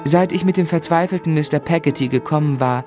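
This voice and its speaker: An actor playing an earnest, easily indignant gentleman who narrates his memories in a radio play, in a measured, literary and restrained manner. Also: fairly high pitch, music in the background, natural voice. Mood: reflective.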